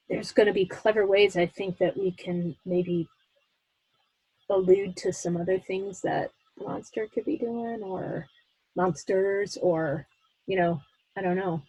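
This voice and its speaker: Slightly husky woman brainstorming casually. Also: medium pitch, darkish tone, dry recording, poor audio. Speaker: improv audio drama actor. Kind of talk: casual creative discussion.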